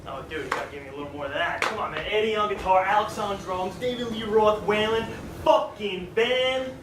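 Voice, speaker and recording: An actor playing a breezy, needling teenage best friend back from the dead in a stage play, talking in casual slang. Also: male voice, high voice, fairly quick, lightly acted. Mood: nostalgic, upbeat. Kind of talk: live stage play acting. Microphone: far camera. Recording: distant, echoey theatre recording with noise.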